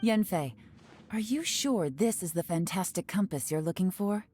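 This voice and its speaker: A woman playing a cool, composed, shrewd investigator in a video game, speaking with measured assurance. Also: lowish voice, lively intonation, mellow, slight background noise, natural voice. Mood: serious, probing.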